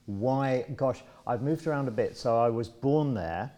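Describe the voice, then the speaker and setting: A man's voice: mid-range voice, measured pace, dark tone. A man in his sixties, born in Congo, answering interview questions in a casual, thoughtful way with many restarts and fillers. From Democratic Republic of Congo. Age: 60s. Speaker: interview guest.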